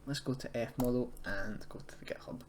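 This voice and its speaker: A man's voice: medium pitch, a little fast, in a whisper. A man presenting a casual screen-recorded tutorial, explaining in an unscripted, hesitant way. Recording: noisy home recording, keyboard typing.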